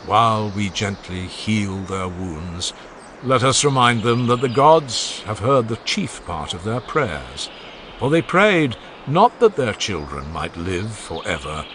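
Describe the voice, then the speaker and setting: A man narrates in a grave, oratorical style, with a lively pitch that swells and drops through long sentences. His voice is on the low side but bright and forward. A faint hum of ambience sits under the reading. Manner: solemn, declamatory, rising and falling in long oratorical phrases. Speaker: audiobook narrator. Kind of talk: dramatized reading of a classical funeral oration.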